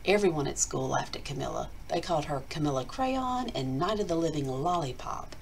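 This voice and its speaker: A woman reading a children's picture book aloud like a teacher, with deliberate, expressive storytelling and dramatic emphasis. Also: low voice, vocal fry, a little hiss or hum.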